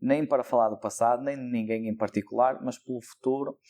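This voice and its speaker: A man's voice: medium pitch, fairly even intonation, quick pace, dark tone. A young man with a European Portuguese accent, a local election candidate answering interview questions in a thoughtful, hesitant way with many fillers. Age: young adult.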